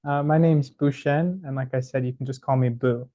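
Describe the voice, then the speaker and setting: A man's voice: medium pitch, steady tone, moderate pace, mellow, dull audio. A young man who is a divinity student and part-time organizer welcomes a small group, chatting casually with fillers and small laughs. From Tennessee, US.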